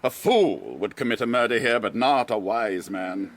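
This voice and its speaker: A man's voice: lowish voice, expressive, normal speed. An actor playing a vain, courtly and slyly menacing foreign Count in a period radio drama, mocking and theatrical as he relishes every word. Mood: sardonic.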